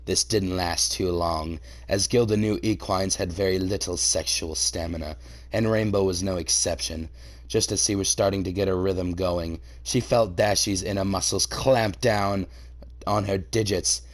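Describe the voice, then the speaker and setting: A man doing a comedic dramatic reading of fan fiction, theatrical and profane, breaking into incredulous high-pitched outbursts. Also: steady tone, normal speed.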